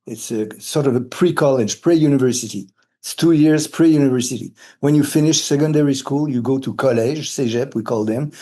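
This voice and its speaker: Mellow older Quebec man with French accent. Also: mid-range voice. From Quebec, Canada, brought up partly in Cameroon and Senegal. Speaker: interviewee, retired philosophy of education academic. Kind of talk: reflective interview talk.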